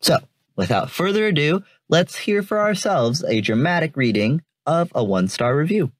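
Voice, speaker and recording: A man's voice: fairly high pitch, very animated, moderate pace. A man hosting a commentary podcast, explaining things informally in a semi-scripted way. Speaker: podcast host and reviewer.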